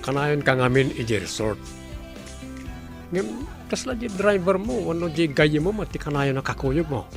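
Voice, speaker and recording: A man plays a friendly young resort owner who cares for his friend. His voice moves up and down a lot. Loud music runs behind him. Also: fairly high pitch, big pitch swings, moderate pace, gravelly. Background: background music.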